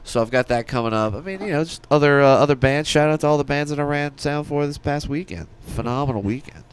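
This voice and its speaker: A man's voice: a little high, quick pace, smooth. A man co-hosting a casual podcast, rambling and swearing freely as he chats into a close studio mic. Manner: rambling, profane. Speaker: podcast co-host. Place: small studio room. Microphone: close podcast mic with headset.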